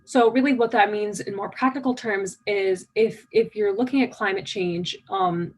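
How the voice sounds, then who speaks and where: A woman's voice: mid-range voice, a little hiss or hum. A nineteen-year-old woman from the United States giving a conversational conference keynote, eager and full of fillers. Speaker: keynote speaker.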